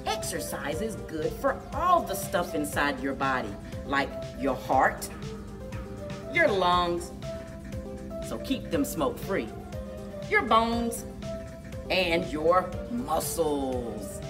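A middle-aged woman speaking to young children as a story time host, with exaggerated, drawn-out storyteller delivery. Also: deep voice, sing-song, far from the mic, over music. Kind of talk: expressive picture-book read-aloud and leading action activity for children. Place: indoors, children's library room.

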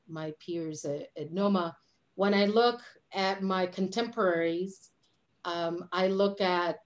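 A woman from Puerto Rico in her forties talks with lively hand gestures over a video call from a dim home office. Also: lowish voice. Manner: animated, gestures a lot with her hands. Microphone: webcam on a video call.

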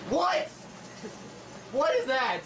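A middle-aged man chatting and yelping through a video game with his family, his voice high and swinging widely. He sounds distant and roomy, caught by a camera across a cluttered living room. Loud background noise sits under him. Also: extremely slow. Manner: excitable, yelps and groans at the game. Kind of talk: casual family gaming banter.

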